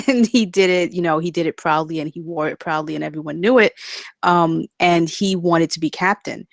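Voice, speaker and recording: A woman with a low voice offers informal feedback on a read-through. She is polite and picks her way through her points a little hesitantly. The recording is dry. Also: normal speed, vocal fry, no room sound. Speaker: narrator in a script table read, giving feedback.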